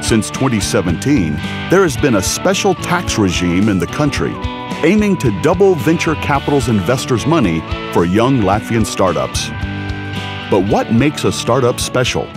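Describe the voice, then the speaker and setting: Male narrator, measured documentary read, animated pitch, over a guitar bed. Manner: measured, informative. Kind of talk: scripted narration. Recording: music bed under the voice. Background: guitar music.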